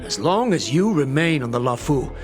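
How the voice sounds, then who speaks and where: An actor playing a blunt, formal dragon-kin official in a video game, admonishing in a declamatory way over music. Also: male voice, a little high, very animated, normal speed. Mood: stern, admonishing.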